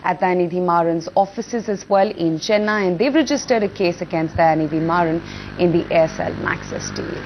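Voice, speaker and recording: A woman's voice: lowish voice, normal speed, lo-fi sound, a little hiss or hum. A woman with an Indian accent anchoring live business news.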